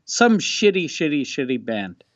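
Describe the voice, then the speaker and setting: A man's voice: a little high, big pitch swings, a little slow, dry recording. A man co-hosting a podcast in casual chat, rambling and laid-back with frequent swearing. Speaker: podcast co-host. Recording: dull, dry podcast mic.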